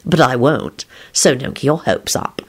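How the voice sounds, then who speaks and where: A woman's voice: rumbling low, unhurried, slightly rough, voice pushed down, exaggerated voice. A woman voicing a smirking, unruffled man with pleasant sarcasm, in a narrated story. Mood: smug, pleasant.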